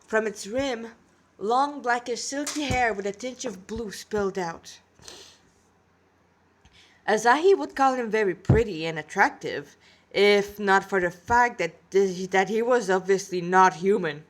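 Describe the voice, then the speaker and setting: A woman's voice: mid-range voice, a little slow. A woman with a congested nose reading a fantasy story aloud as an amateur narrator.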